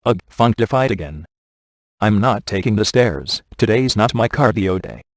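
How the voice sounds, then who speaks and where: A man's voice: lowish voice, expressive, normal speed, no room sound. A man playing a wisecracking, laid-back ghost hunter in a video game, with a dry, sardonic and glib delivery. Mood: dry sarcasm. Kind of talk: scripted video game character dialogue.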